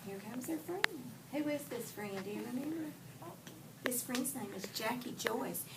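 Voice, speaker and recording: A woman's voice: on the high side, expressive, normal speed. A woman teacher doing an interactive read-aloud for children, prompting with questions and repeating answers back. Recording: distant mic in a noisy room.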